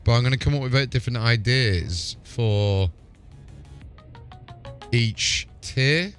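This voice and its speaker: A man's voice: medium pitch, slow pace. A man hosting a game livestream, chatting casually in a laid-back, sweary way. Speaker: game streamer and host. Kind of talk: casual livestream chat over gameplay. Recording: music playing behind the voice. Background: music.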